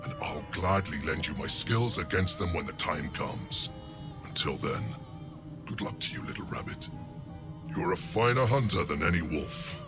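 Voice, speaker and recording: Very deep battle-hungry warrior. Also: male voice, animated, normal speed, over loud music. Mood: approving, menacing. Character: proud, battle-hungry male infernal warrior. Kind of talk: video game drama acting.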